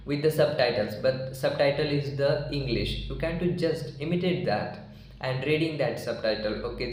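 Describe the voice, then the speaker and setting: Young indian guy, marathi first language, earnest vlog tips into a phone, super echoey room, faint hiss. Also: mid-range voice. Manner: earnest, loose non-native grammar, many "you know" fillers. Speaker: English-learning YouTuber. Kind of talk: talking-to-camera advice vlog. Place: small indoor room, plain wall.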